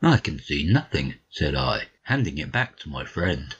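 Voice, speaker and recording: Modest gentleman storyteller , played by a man: deep, husky, measured and courteous, audiobook on slightly muffled audio. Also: sing-song, heavily acted. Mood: measured, wry. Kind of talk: dramatic audiobook reading, storytelling.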